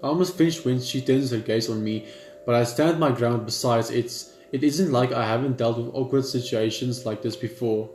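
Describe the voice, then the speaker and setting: A man hosting a gaming video reads on-screen story text aloud, haltingly, repeating words and adding casual asides. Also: mid-range voice, a little mellow, slight background noise. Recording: echoey room with faint game music. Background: game music.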